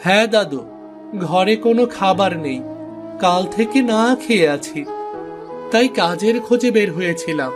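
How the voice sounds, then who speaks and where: Man playing a poor village boy, high voice, earnest, caring, cartoon, music behind. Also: animated, normal speed, long pauses. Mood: reassuring. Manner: earnest, reassuring. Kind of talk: cartoon voice acting.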